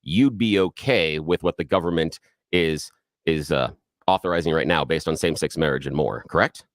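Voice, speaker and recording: A man's voice: extremely low pitch, normal speed, dry recording, studio quality. A man co-hosting a radio talk show, probing and arguing with a caller, leading her through his point step by step.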